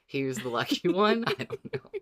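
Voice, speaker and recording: A co-host on a casual fan podcast speaks in a medium-pitched voice. The delivery is informal and wry, working through theories tentatively and swearing freely. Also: huge pitch swings, normal speed, fairly bright tone.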